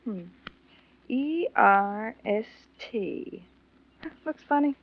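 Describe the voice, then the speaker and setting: A nagging wife in a 1940s radio play. She drawls insistently in a high, very slow voice that slides around a lot, absorbed in her own trifles. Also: expressive, full character voice. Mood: puzzled, pestering. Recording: dull 1940s radio recording.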